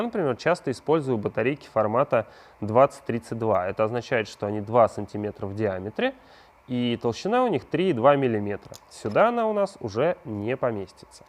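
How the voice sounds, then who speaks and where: Man, mid-range pitch, craft tutorial host, conversational, explanatory, rhetorical questions to the viewer. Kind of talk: hands-on prop-making tutorial narration.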